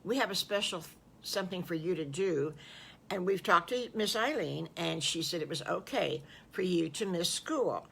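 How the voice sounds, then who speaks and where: A woman's voice: on the low side, expressive, moderate pace, vocal fry, slight background noise. An older woman voicing a kindly, nervous farm wife in a storybook tale, gushing and fluttery.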